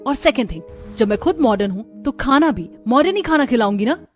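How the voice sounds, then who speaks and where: A woman's voice: on the high side, expressive, a little fast, faint music behind, close to an everyday voice. A woman voicing a snobbish young modern daughter-in-law in a cartoon, sassy and peppering her Hindi with English. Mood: indignant.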